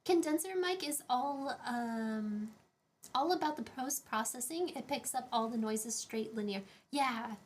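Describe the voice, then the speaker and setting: A woman streamer with a higher-pitched voice, chatting casually on a live stream in a rambling way with many fillers and restarts, picked up by a shotgun mic set a few feet away. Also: unhurried. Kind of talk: casual live-stream chat, reading chat questions. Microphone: shotgun condenser mic about five feet away.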